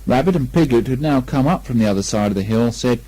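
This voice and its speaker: A man's voice: mid-range voice, animated, fast, dark tone. A man narrating a children's storybook from a script. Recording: lo-fi amateur 1980 speech recording.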